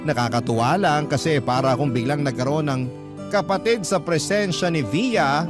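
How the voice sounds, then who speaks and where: Filipino guy radio storyteller, kinda high voice, reading out a love story letter, music behind. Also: normal speed. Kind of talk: first-person dramatic story narration, reading a listener's letter. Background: background music.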